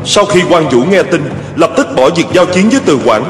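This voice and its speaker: A man's voice: on the high side, expressive, normal speed, natural voice. A man voicing a solemn storyteller, narrating ancient wars in a historical cartoon. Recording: long hall reverb, music behind.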